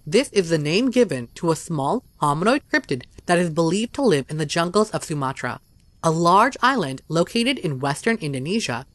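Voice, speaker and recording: A narrator reading a scripted documentary story in a measured, even delivery. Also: medium pitch, no room sound.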